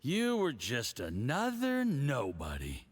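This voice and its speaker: A man's voice: mid-range voice, wildly swooping pitch, slow, slight background noise. An actor playing a boastful, patronizing foreign agent who schemes over drinks in a video game, savouring his phrases smugly. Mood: self-satisfied, condescending. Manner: smug, theatrical, savouring phrases.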